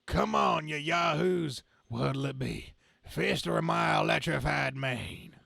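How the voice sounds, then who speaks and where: A man's voice: on the high side, slow pace, vocal fry, pushed and bright, no echo, studio quality, full character voice. A man playing a folksy, cocky Southern superhero with slangy talk in an amateur comic-book reading. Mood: cocky, defiant.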